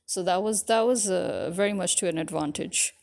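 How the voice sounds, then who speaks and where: A woman's voice: fairly low pitch, normal speed, vocal fry, a little mellow. A young woman with a mixed British, American and Pakistani English accent, talking casually and rambling with many fillers as an author vlogging. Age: young adult. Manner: rambling, frequent fillers, self-correcting. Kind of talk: casual spoken monologue.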